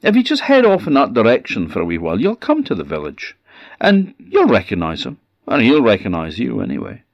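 A man's voice: mid-range voice, wildly swooping pitch, fairly quick, dry recording. A man voicing a cheery, proud little male fart creature, in a slightly dull recording. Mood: matter-of-fact. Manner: boastful, jolly.

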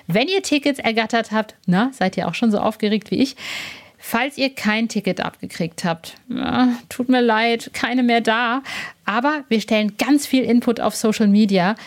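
A woman hosting a podcast, chatting in a lively, enthusiastic way with fillers. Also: fairly high pitch. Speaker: podcast host. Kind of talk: casual podcast chat. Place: rooftop terrace outdoors, construction noise nearby.

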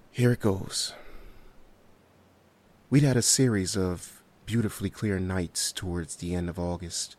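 A man's voice: low-pitched, darkish tone, slightly rough, heavily acted. An actor playing a haunted survivor in a horror audio drama, recounting his ordeal in a hesitant, solemn and descriptive way. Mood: shaken, apologetic, then wistful, troubled. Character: haunted male survivor recounting a harrowing night.

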